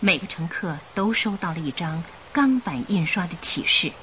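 A woman narrating a Chinese radio drama in a scripted, expressive storytelling style. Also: on the low side, normal speed, slight background noise. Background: music.

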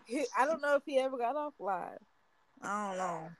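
A woman with a high, calm voice hosts a live audio chat and giggles as she tells a story. There is faint noise under her voice. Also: normal speed. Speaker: host.

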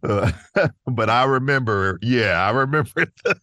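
A man's voice: medium pitch, animated, measured pace, bright, forceful tone, no echo, clean studio sound. An American man talking casually as a guest on a podcast. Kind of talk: casual chat.

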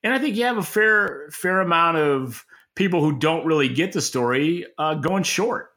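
A man's voice: fairly high pitch, expressive, moderate pace, sharp tone. A man co-hosting a podcast as an industry analyst, giving unscripted, opinionated explanations and often rephrasing mid-sentence. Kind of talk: unscripted expert market commentary.

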